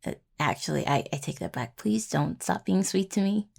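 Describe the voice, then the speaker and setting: Woman doing a young necromancer healer in an audio rp, lowish voice with tons of gravelly fry, sweet but anxious, hesitant and rambly. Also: animated, normal speed, full character voice. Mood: affectionate, teasing. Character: young necromancer healing student, anxious, sweet, affectionate partner. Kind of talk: intimate roleplay audio acting, casual.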